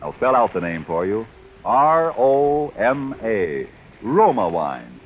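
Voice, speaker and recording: A man's voice: on the low side, big pitch swings, slow. A man reading a scripted sponsor advertisement as a 1940s radio announcer, polished and formal. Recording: muffled, noisy vintage radio recording.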